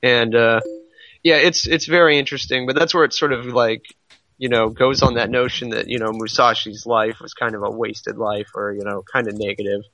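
A man's voice: medium pitch, steady tone, moderate pace, pushed and bright, highs cut off. A man in a casual podcast discussion of manga, rambling a little as he thinks aloud. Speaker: podcast co-host.

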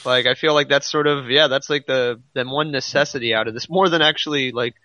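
A man talks casually on a fan podcast in a bright, punchy voice, often circling back over his own words. The sound is very dry, though slightly dull and lo-fi. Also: medium pitch, steady tone, brisk, dry recording. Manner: rambling, repeats himself, heavy use of "like". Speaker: podcast panelist.

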